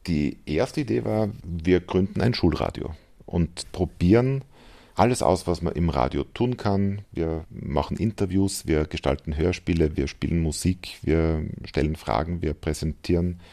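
A man's voice: low voice, normal speed, creaky, warm tone. A man with an Austrian accent, an educator explaining his work informally in an interview. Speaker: teacher trainer and school radio founder.

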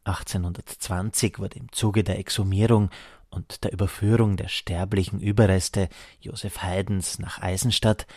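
A man's voice: sits low, darkish tone, no room sound, studio quality. A man reading scripted narration as the reporter of a radio feature.

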